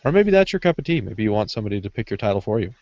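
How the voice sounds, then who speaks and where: A man chatting casually on a podcast panel, halting often and restarting as he searches for words. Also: lowish voice, very animated, a little mellow, no room sound, highs cut off. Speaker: podcast panelist discussing writing craft. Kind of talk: casual unscripted chat.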